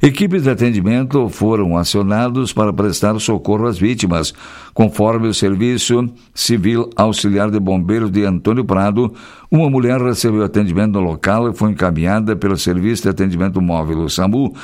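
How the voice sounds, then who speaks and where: A man's voice: lowish voice, fairly quick, darkish tone. Chatty man reading local news. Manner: chatty, folksy commentary between read news items. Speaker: radio news host.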